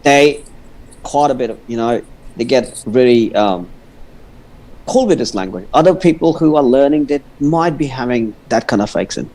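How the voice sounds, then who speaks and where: A man's voice: mid-range voice, moderate pace, warm tone, low-quality recording. A man from India with an Indian English accent and Punjabi as his first language, chatting casually as a guest and stammering, often restarting his sentences. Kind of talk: casual livestream chat.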